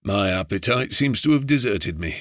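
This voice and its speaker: Man playing a weary condemned gentleman. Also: on the low side, animated, normal speed, dry recording, studio quality, pitched below the performer's own voice, close to an everyday voice. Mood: resigned. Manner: quiet, gentle, restrained.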